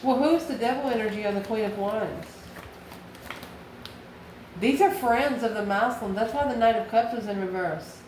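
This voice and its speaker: A woman's voice: fairly high pitch, normal speed, long pauses. A woman giving an informal tarot reading straight to the viewer, musing aloud and answering her own questions. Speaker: tarot reader and channel host. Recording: echoey room with steady background noise.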